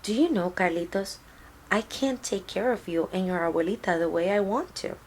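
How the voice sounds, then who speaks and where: A woman voicing a tender, hardworking mother who speaks gently and earnestly to her child in a storybook. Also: medium pitch, normal speed, slight background noise, lightly acted. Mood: earnest, weary. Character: tender, hardworking Mexican mother of a young boy.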